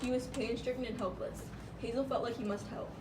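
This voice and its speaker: Female puppet show narrator, scripted read with small stumbles, far-off mic and clear background noise. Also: fairly high pitch, fairly even intonation, brisk. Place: room, live amateur puppet show. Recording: echoey, noisy room, far from mic.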